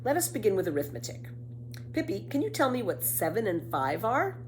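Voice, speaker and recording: A woman voicing a pleasant, patient female schoolteacher in a children's storybook, gentle and polite. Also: mid-range voice, very animated, fairly quick, slight background noise, close to an everyday voice, rough recording. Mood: patient, kindly.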